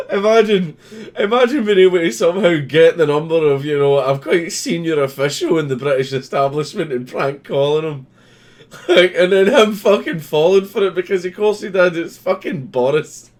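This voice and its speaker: A man's voice: fairly high pitch, moderate pace. A man giving casual commentary as he reads a news article aloud, swearing freely in an amused, sardonic manner. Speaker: host.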